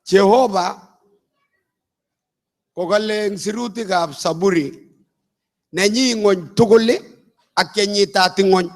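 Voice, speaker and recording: An older man from Uganda preaches with a high voice. His delivery comes in slow, measured phrases. He speaks as an apostle giving a sermon.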